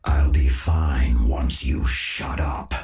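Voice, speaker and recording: An actor playing a surly, menacing dark mercenary, with a breathy growl. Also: male voice, extremely low pitch, takes it slowly, exaggerated voice. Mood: surly menace.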